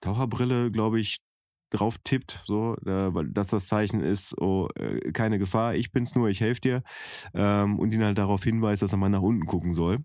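Ein Mann, der locker und zögernd mit vielen Füllwörtern über ein Buch und ein Hörspiel plaudert. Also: male voice, on the low side, moderate pace, darkish tone, dry recording, studio quality. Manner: hesitant, many fillers and restarts. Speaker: podcast co-host. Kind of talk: casual chat about a book and audio drama.

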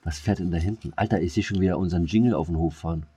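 A man's voice: deep voice, very fast, warm tone, slight background noise. A man co-hosting a podcast, chatting casually with slangy, mock-scolding banter. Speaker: podcast co-host. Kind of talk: casual chat between two friends.

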